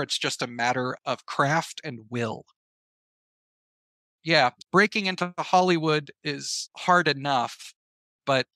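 A man's voice: mid-range voice, vocal fry, bright, forceful tone, dry recording. A man speaking casually as an interview guest, sharing advice and experience in a conversational way. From American Southeast. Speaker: podcast guest, writer and filmmaker.

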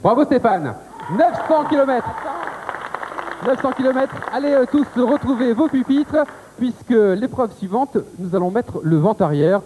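A man's voice: very high-pitched, lively intonation, unhurried. A man in his thirties hosting a television game show, chatty and upbeat as he quizzes the contestants. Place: TV studio set. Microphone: handheld mic. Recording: reverberant studio, faint tape hiss.

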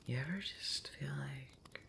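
A man speaking softly and breathily, often whispering, in an intimate ASMR roleplay monologue, rambling hesitantly with long pauses. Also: medium pitch, creaky, slight background noise. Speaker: audio roleplay voice actor.

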